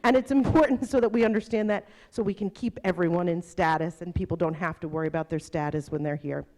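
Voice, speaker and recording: A middle-aged woman with a New Jersey accent, moderating a university workshop and speaking to a room of staff in a lively way, often tagging her points with "right?". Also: fairly low pitch, fast. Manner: lively, keeps asking "right?".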